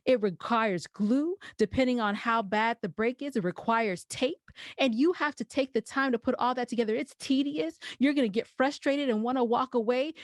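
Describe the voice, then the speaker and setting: A woman in a reflective podcast conversation, explaining her points deliberately in short phrases. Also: fairly high pitch, no echo, studio quality. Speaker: podcast guest.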